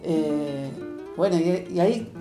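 A woman's voice: deep voice, takes it slowly, over music. A woman with an Argentine accent recollecting in a reflective, conversational interview. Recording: roomy echo.

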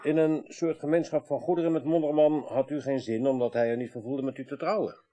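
Mellow, perceptive male investigator, played by an actor. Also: mid-range voice, steady tone, fairly quick, lightly acted. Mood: tactful, probing. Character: polite, perceptive male assistant investigator, gently blunt. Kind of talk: naturalistic 1980s radio drama acting.

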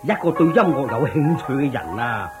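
A man's voice: medium pitch, expressive, close to an everyday voice. A man playing a pompous, music-loving prince in a Cantonese radio drama, drawing out effusive exclamations in a theatrical way. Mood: coaxing. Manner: theatrical, drawn-out exclamations of praise.

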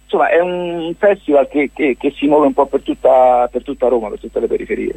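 A man with a Roman accent chatting informally as a guest on a radio show, halting, rambling and joking at his own expense. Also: medium pitch, animated, gravelly, mellow, husky, dry recording, rough recording. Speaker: guest presenting a cultural festival. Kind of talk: informal radio interview, unscripted chat.

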